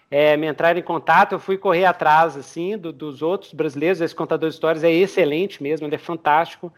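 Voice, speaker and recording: Brazilian guy hosting a podcast, pretty high voice, casual excited chat. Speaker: podcast host.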